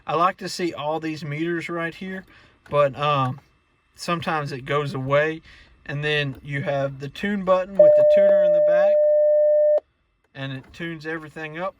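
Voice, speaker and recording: A man with a somewhat higher-pitched voice presents a casual hands-on demonstration. He explains each step in a practical, plainspoken way, like a hobbyist showing his own setup. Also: a bit bright. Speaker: radio hobbyist presenting an install walkthrough.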